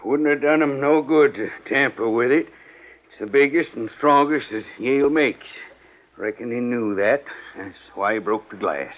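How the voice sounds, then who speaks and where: A man's voice: mid-range voice, moderate pace, lightly acted. An actor playing a proud, irascible, fat older Southern rich man in a 1950s radio drama, folksy and commanding, with an American Southern accent. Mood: businesslike, folksy. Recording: muffled vintage radio drama recording.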